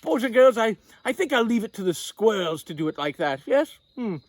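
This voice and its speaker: A man voicing a fussy, excitable, theatrical lady who hosts a comic children's storytime in a fluttery, exclaiming manner. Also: sits high, brisk, voice pushed up, exaggerated voice. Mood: prim.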